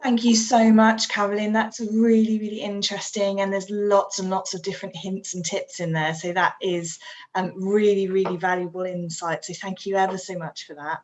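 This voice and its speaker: A woman's voice: mid-range voice, level tone, normal speed. A woman moderating an online panel who thanks speakers, introduces the next one and reminds the audience about questions in a polite, effusive manner.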